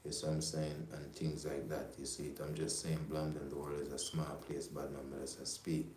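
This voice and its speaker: Very deep, flat-voiced Jamaican-born man ranting. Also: brisk, echoey room, a little hiss or hum. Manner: rambling, profane, streetwise, repeats "you know what I'm saying". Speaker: livestream host. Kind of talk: casual livestream monologue.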